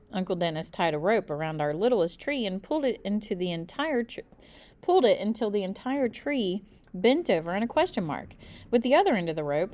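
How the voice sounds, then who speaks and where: A woman reading a children's book aloud expressively, with deliberate, dramatic emphasis. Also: medium pitch, a little mellow. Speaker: storybook reader.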